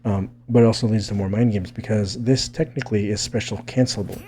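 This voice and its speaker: A man's voice: on the low side, steady tone, fast talker, mellow, a little hiss or hum. A man giving a casual fighting game tutorial, thinking aloud in a rambling way and often checking in with "right?". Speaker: gaming video creator explaining techniques.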